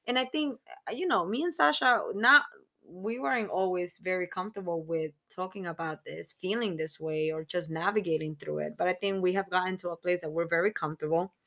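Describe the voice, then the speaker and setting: Low-voiced woman in her thirties chatting. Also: fairly quick, gravelly, fairly bright tone. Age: 30s. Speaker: podcast co-host. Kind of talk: casual chat.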